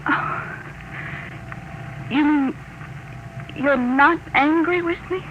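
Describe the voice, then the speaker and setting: A woman's voice: high-pitched, extremely slow. A woman acting an anxious, easily frightened young woman in a 1950s radio drama. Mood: bewildered. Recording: muffled, noisy old radio recording.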